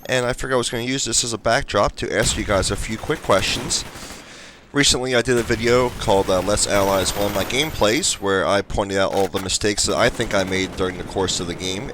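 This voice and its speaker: A young man with a slightly low, congested voice talks casually through a gameplay video, rambling a little with ums and uhs. Gunfire and explosions from the game are loud behind him. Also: noisy background. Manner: chatty, rambling with fillers. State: stuffed up, congested. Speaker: gaming channel host.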